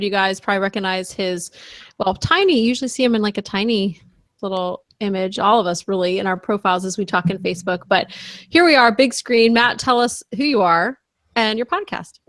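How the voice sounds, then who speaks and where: A woman's voice: mid-range voice, fairly bright tone, dry recording. A woman hosting and moderating an online podcast roundtable, bubbly and upbeat, laughing often. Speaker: moderator and host.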